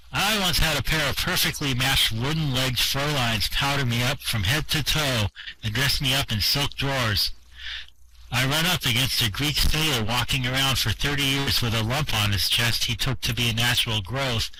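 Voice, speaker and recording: A swaggering old drifter who has seen it all , played by a man, trading boasts in a radio drama. His voice is bright and cutting, and he talks like a man with nothing left to lose. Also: medium pitch, a little hiss or hum, rough recording. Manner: swaggering tall-tale bragging. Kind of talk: amateur radio play reading.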